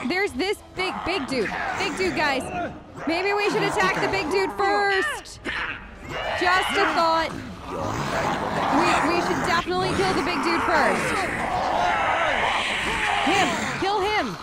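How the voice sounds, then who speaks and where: A woman giving casual, playful let's play commentary, chatty and exclaiming, with game sounds behind her. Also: extremely high pitch. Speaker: gaming host. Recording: loud game noise behind voice. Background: game sounds and ambience.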